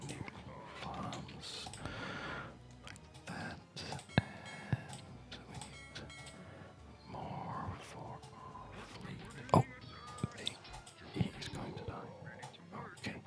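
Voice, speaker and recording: Slow, whispered ASMR gaming commentary. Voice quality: breathy unvoiced whisper. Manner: unhurried, murmuring to self. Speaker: gamer narrating own play.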